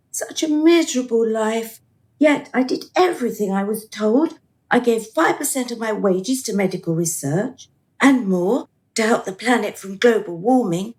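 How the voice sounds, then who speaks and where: A woman's voice: on the high side, a little mellow. A woman playing a dutiful, unhappy dead woman in a radio play, plaintive, earnest and eager to please.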